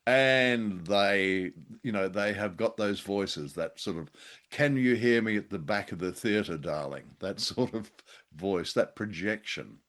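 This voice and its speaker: A man's voice: fairly low pitch, a little slow, fairly bright tone. A man co-hosting a review podcast, chatting casually in a wry, jokey way. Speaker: podcast co-host.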